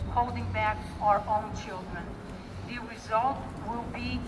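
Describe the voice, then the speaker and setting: A woman's voice: fairly high pitch, very noisy. Woman rally speaker, emphatic repeated lines, heard from far off through a PA with long reverb. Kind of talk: prepared political rally speech. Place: outdoor rally at a state house. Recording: distant echoey outdoor PA recording.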